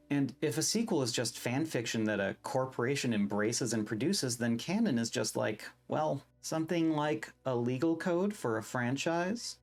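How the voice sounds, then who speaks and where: A video essayist of mid pitch narrates over soft music. The delivery is scripted but rambles into casual, self-deprecating asides, with some casual swearing.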